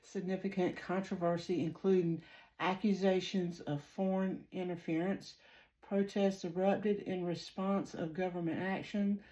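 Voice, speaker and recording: Slow, mellow Southern woman. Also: fairly low pitch, little pitch movement, low-quality recording. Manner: halting, many ums, reads from notes. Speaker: psychic channeler. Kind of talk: casual spiritual reading monologue.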